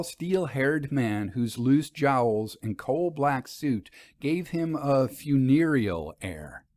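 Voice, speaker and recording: Casting: male audiobook narrator, medium pitch, very lively intonation, expressive and articulate, scripted fiction. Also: no room sound.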